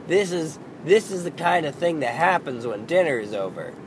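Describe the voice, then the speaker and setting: A man in his thirties hosting a casual solo podcast, hoarse and losing his voice, rambling and halting, swearing under his breath. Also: on the high side, expressive, background noise. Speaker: podcast host.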